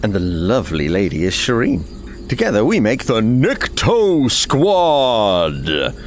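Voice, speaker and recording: An actor playing a cocky, theatrical squad leader of rangers in an audio drama. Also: male voice, lowish voice, huge pitch swings, slow pace, a little hiss or hum, exaggerated voice. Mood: showy.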